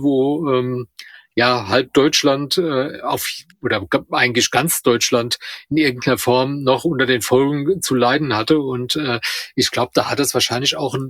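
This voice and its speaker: A man talks reflectively as a podcast co-host. His voice is mid-pitched and slightly rough, recorded close and dry. He feels his way through halting phrases as he searches for words. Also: a bit bright, no room sound. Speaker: podcast co-host discussing radio plays. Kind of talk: casual reflective discussion.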